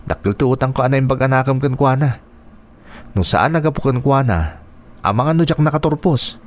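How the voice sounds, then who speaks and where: An actor playing a caring, protective young nephew in a radio drama, explaining things earnestly. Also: male voice, medium pitch, brisk, natural voice. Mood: pleading, earnest.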